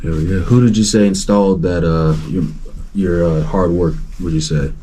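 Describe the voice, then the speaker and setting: A man hosting a casual interview, asking questions and answering with short, relaxed affirmations. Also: low-pitched, normal speed, a little hiss or hum. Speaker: podcast host.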